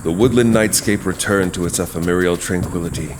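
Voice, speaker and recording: A man narrating a horror audio drama as host, in a measured, dramatic storytelling manner with pauses. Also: deep voice, heavy background noise.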